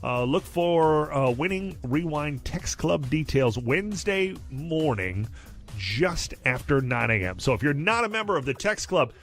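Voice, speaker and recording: A man's voice: fairly high pitch, sing-song, measured pace, a bit bright. A man working as a music radio DJ, giving upbeat, conversational patter with emphatic, enthusiastic promotion between songs. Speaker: radio DJ, music station host. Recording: radio broadcast with music behind.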